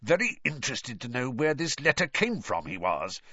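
A man's voice: medium pitch, wildly swooping pitch, moderate pace, sharp tone, no echo, heavily acted. A large, hostile middle-aged uncle voiced by a male storybook reader. He growls in short, clipped bursts through gritted teeth, always irritable. The recording is dry and slightly dull. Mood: seething.

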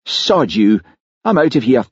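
A man's voice: medium pitch, big pitch swings, unhurried, breathy edge, dry recording, dull audio, pitched above the performer's own voice. A man voicing a cocky, moody police colleague with blunt, slangy talk in an audiobook. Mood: angry. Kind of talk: audiobook character acting.

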